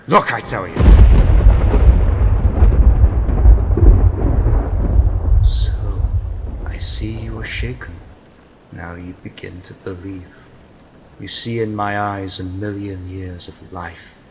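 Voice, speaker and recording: An actor playing a million-year-old immortal Neanderthal villain, theatrical and grandiose, in old-time radio drama style. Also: male voice, sits low, steady tone, a little slow, a bit bright, slight background noise. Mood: commanding. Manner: theatrical, grandiose, menacing declamation.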